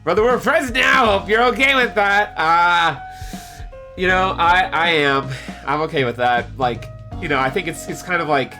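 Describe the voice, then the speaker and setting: A man with a slightly stuffy nose chatting casually with live stream viewers in an excitable, playful, rambling way. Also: high voice, moderate pace. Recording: music behind the voice. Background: background music with guitar.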